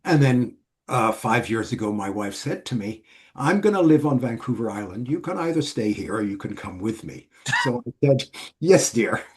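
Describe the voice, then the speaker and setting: A middle-aged man from Switzerland, a guest author explaining his work in a measured, listing way. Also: medium pitch, big pitch swings. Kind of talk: casual interview.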